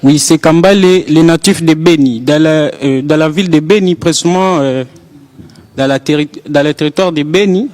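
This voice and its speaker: Student guest, young man, halting introduction. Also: fairly high pitch, a little mellow. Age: young adult.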